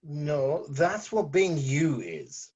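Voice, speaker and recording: Man playing a sardonic journalist with swinging pitch. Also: big pitch swings, drawn-out words, darkish tone, husky, highs cut off, heavily acted. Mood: tipsy bravado. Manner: sarcastic, theatrical. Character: sardonic, jaded male newspaper journalist, drunk when young. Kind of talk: amateur script table read.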